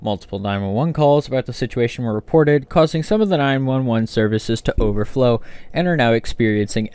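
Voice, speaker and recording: A man's voice: medium pitch, a little mellow, smooth, no room sound, lightly acted. A man playing a grave, formal breaking-news anchor who reads a scripted report.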